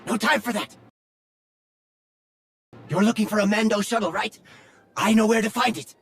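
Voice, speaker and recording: An actor playing a quick, earnest young rebel leader in a video game, a little wry. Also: male voice, high-pitched, gravelly, slightly rough, soft background music, heavily acted. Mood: urgent. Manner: hurried, earnest, a bit wry.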